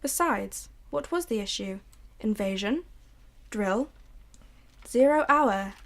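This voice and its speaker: A young woman narrating a radio drama in a measured, brooding way. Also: mid-range voice, animated.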